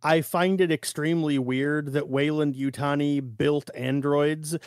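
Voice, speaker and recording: Slightly high-voiced man, casual podcast talk. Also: steady tone, measured pace, clean tone, dry recording, studio quality. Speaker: podcast host. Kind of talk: casual analytical discussion chat.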